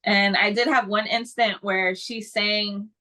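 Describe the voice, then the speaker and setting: A woman's voice: mid-range voice, fairly even intonation, measured pace, sharp tone. A woman co-hosting a podcast, talking casually and earnestly, with frequent restarts. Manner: earnest, spiritual talk, restarts. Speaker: podcast co-host.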